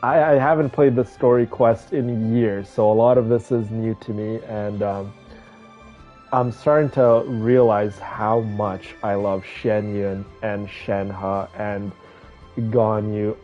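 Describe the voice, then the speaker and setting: Streamer talking casually to chat, mid-range voice, drawn out and hesitant, bit muffled, game music quietly underneath. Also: mid-range voice, fairly even intonation, slow. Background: game music and dialogue.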